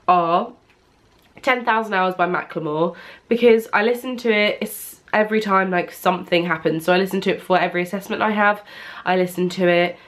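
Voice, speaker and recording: A woman's voice: lowish voice, a bit bright. Young British woman with a stuffy cold. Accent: British English. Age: young adult. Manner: chatty, rambling, many ums. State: blocked nose from a cold. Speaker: vlogger. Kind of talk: casual chat to camera. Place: at home, indoors. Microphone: camera propped on an ironing board.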